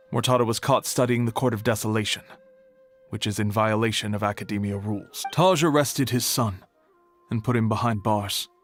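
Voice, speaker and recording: A man playing a discreet, solemn academy archivist in an anime-style game, explaining things in a grave, measured way. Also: fairly low pitch, lightly acted.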